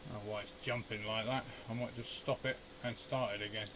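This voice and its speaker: A man's voice: on the low side, steady tone, fast, noisy background. A man chatting informally and thinking aloud as a hobbyist host, grumbling his way through a hands-on equipment demonstration. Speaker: amateur radio hobbyist and video host. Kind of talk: casual unscripted shack demonstration, muttering through troubleshooting. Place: amateur radio shack at home.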